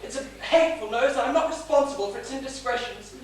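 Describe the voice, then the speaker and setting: A nervous young male clerk acted by a woman in a stage farce, high in pitch, over-polite and forever pleading. The words tumble and stammer in anxious apology. The voice is far from the microphone in a heavily reverberant theatre. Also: quick pace, a little mellow, close to an everyday voice. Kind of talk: farcical amateur stage comedy. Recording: distant, echoey, noisy theatre stage recording.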